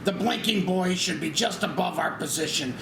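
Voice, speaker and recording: A man's voice: high-pitched, normal speed, gravelly. A man playing an earnest, bossy airline crewman in a spoof screenplay, giving instructions emphatically at a table read. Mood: urgent instructing. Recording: noisy, echoey room.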